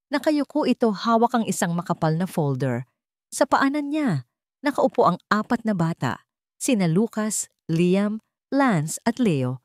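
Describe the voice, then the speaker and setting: A woman narrates a scripted drama with animated melody. Her voice sits a little low, and the recording is close and dry, with no room sound. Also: no echo. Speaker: storyteller.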